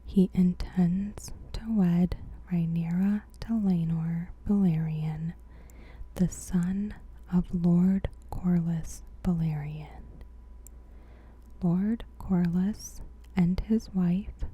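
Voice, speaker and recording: A woman softly whispering a scripted recap in ASMR style, breathy and gentle, with deliberate pauses. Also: fairly low pitch. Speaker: ASMR host.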